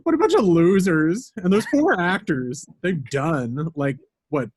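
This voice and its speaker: Man playing a high, raspy, sneering twenty-something. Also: expressive, measured pace, a little mellow, no echo, heavily acted. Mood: mocking, amused. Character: sneering, cynical twenty-something male heckler. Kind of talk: amateur screenplay table read.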